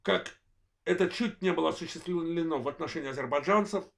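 A man in his forties from Azerbaijan with a worn voice, delivering a political analysis monologue in measured, halting short phrases as host of his own channel. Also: on the high side, vocal fry. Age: 40s. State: voice worn, apologizes for it.

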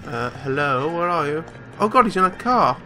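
A man commentating on a game in a bright, forceful, fairly high voice. He is jokey and loose, swears freely and slips into playful silly words, with faint music behind him. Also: big pitch swings, moderate pace. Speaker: let's play host. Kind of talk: casual improvised game commentary.

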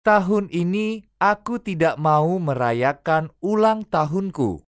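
A woman reading short phrases slowly and clearly, each repeated for language learners. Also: fairly low pitch, expressive, no room sound, clean studio sound. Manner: careful, clearly enunciated. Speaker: native Indonesian speaker reading phrases.

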